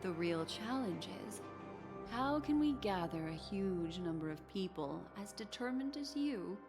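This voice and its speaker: A woman playing a poised, enigmatic keeper of memories in a video game, elegant and deliberate. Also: medium pitch, lively intonation, over music, natural voice. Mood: cautioning. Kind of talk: video game character acting.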